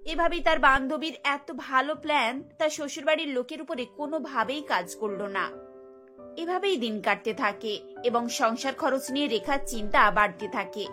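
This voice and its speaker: High, bright woman storyteller. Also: normal speed, faint music behind. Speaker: narrator. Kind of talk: scripted cartoon story narration.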